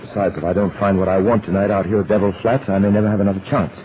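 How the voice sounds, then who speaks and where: An actor playing a suave, commanding big-city detective with an urbane, dry manner, in 1940s radio drama style. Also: male voice, fairly low pitch, fairly even intonation, racing, natural voice. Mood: purposeful. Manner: urbane, dry, crisply authoritative. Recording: tinny, narrowband old radio recording.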